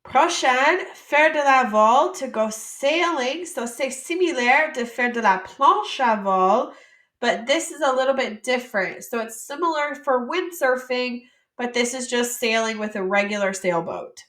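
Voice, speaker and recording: A woman teacher from the United States walks students through French vocabulary, pronouncing each word with care before chatting about it in relaxed English. Her voice is bright and on the high side. The sound carries some echo from the room. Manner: reads French words slowly, then explains in casual English. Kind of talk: vocabulary lesson.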